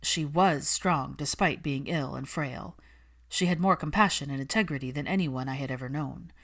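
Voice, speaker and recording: A woman's voice: deep voice, moderate pace. A woman voicing an earnest, guarded witch, weary but stubborn, in audiobook dialogue. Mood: tender, grieving.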